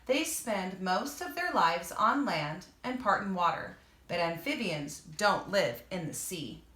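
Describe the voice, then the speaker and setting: A woman reads an informational book aloud for children, speaking to her young listeners directly and pausing to explain as she goes. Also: on the low side, big pitch swings, bright, forceful tone. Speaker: reader and teacher for children. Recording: echoey room.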